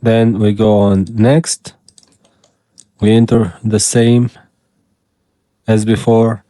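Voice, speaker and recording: A man whose first language is Arabic talks slowly through a screen-recorded tutorial. His voice is mellow and soft-edged. He explains one step at a time while he clicks. The sound is dry and close, with no room around it. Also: on the low side, flat delivery, no room sound. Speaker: tutorial host.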